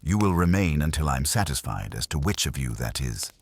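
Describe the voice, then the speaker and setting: A man voicing an imperious, calm detective genius in an audiobook, pompous, formal and husky. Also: a bass voice, a little fast, full character voice. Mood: stern, imperious.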